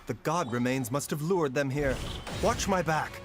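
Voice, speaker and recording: An actor playing a calm, refined doctor in a video game, speaking in a measured, polite and precise way. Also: male voice, on the high side, natural voice. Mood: composed. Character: calm, refined male doctor, gently grave. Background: game music.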